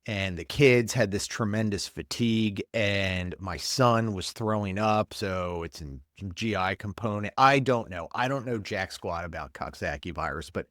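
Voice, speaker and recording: A man's voice: fairly low pitch, a little slow, few pauses, fairly bright tone, no room sound, clean studio sound. A man hosting a podcast, sounding congested and stuffed up, rambling and blunt in casual commentary. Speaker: podcast host, paramedic.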